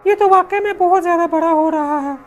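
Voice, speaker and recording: A woman voicing a protective, chiding village mother in an animated story. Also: very high-pitched, brisk. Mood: concerned. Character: anxious, scolding village mother of a young girl. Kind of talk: Hindi animated horror story dialogue. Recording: music behind the voice.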